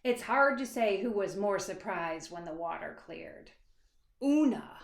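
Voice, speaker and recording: Slow, expressive woman reading a storybook, echoey. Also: medium pitch. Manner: dramatic, dropping to hushed asides and stretched words; pauses to address young listeners with questions. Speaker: author reading her own picture book. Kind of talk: expressive children's storybook read-aloud. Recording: echoey, reverberant room.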